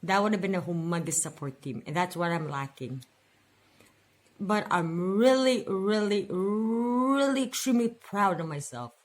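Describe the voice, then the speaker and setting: A woman's voice: medium pitch, expressive, measured pace. Woman in her forties, budget vlogger, rambling, venting, repeats herself. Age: 40s. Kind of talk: casual personal vlog.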